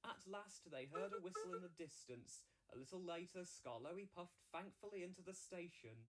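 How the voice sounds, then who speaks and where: A man's voice: high-pitched, animated, moderate pace, a bit bright. A man narrating a children's storybook from a script.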